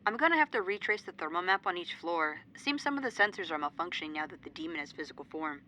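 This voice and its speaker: A brisk young female ghost-hunting tech, played by a woman in an audio drama. She talks fast in a lowish, businesslike voice, with ambient noise around her. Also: very animated, sharp tone. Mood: focused. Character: brisk, technical young female paranormal investigator.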